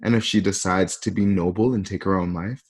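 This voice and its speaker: A man acting a cruel, coldly threatening Roman emperor in a radio play, slow and theatrical with pauses. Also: low-pitched, fairly even intonation, a little mellow, slightly rough. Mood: cold menace. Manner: slow, theatrical, pausing between phrases.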